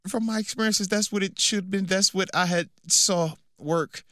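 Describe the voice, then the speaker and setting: A man's voice: high-pitched, normal speed, sharp tone, dry recording, studio quality. A man in his thirties, a former football player co-hosting a casual sports radio show, bantering playfully and repeating phrases for emphasis.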